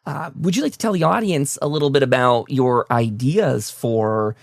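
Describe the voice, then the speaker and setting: A man's voice: mid-range voice, normal speed, gravelly, no echo, studio quality. A man hosting a conversational interview, talking in a discursive way and repeating words as he forms his thoughts. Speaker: talk show host, interviewer.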